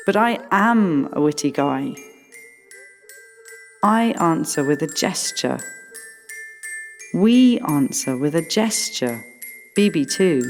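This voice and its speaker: A woman playing a whimsical, musing character in a radio play, with deliberate, drawn-out phrasing. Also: on the low side, darkish tone. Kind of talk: slow, stylised art radio play delivery. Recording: long reverb, music behind the voice.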